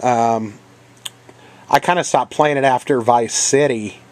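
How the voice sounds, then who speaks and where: Brief: congested man with a head cold, mid-pitched voice, halting casual vlog talk full of fillers. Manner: rambling, halting, many ums and uhs. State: coughing now and then. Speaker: channel host. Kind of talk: casual video game haul vlog.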